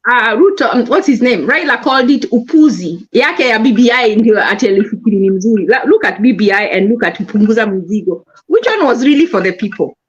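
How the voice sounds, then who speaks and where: A woman's voice: on the high side, animated, brisk, hardly any pauses, husky, muffled recording. A Kenyan woman with a Kenyan accent, talking animatedly about politics and switching between Swahili and English. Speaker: panelist. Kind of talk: political discussion.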